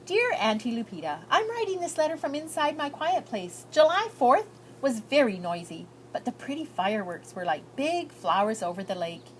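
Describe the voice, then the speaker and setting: A woman's voice: on the high side, very animated, moderate pace, hardly any pauses, a little hiss or hum, pitched above the performer's own voice, poor audio. A woman voicing an earnest, shy, hopeful immigrant girl reading out her letters, with heartfelt, lilting storybook delivery.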